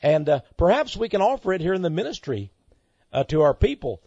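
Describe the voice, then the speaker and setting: A man's voice: high-pitched, very animated, a little fast, dark tone, dry recording, highs cut off. A man speaking as a talk show host and preacher, in an impassioned, emphatic monologue that repeats phrases for dramatic effect.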